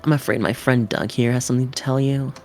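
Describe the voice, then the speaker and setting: A woman voicing a dry, mock-solemn student gamer in a game, needling in a deadpan, theatrically grave manner. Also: a bass voice, quick pace.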